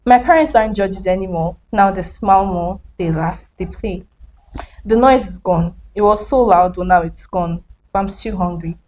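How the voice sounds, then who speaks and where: A young woman reads her own prose in a slow, reflective spoken-word style, then turns to asking questions as host. Her voice sits at an ordinary middle pitch. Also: a little mellow, slight background noise, rough recording. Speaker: host and writer.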